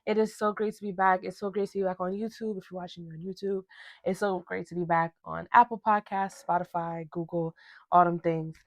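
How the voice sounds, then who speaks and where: A woman's voice: lowish voice, steady tone, brisk, no room sound. A young woman from Ghana hosting her own podcast, talking casually and animatedly, with slangy asides. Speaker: podcast host. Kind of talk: casual solo podcast rant.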